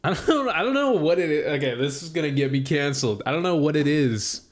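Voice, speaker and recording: A young man in a casual rambling monologue, full of "like" and restarts as he thinks out loud. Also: a little high, lively intonation, fairly quick. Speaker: student streamer.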